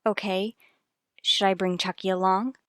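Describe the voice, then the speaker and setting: A woman's voice: mid-range voice, level tone, normal speed, a bit bright, no room sound, studio quality, natural voice. A woman playing a troubled, guarded wife and mother in an old-time radio soap, restrained and evasive in manner. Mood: polite.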